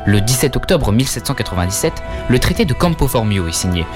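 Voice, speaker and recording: A man tells the story of a famous painting in a scripted, explanatory narration. He speaks at a middling pitch and turns to the viewer with quick questions and wry asides. A clear music bed plays under his voice. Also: big pitch swings. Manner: addresses viewers directly with quizzes and asides. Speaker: educational video narrator. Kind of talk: scripted art-history narration.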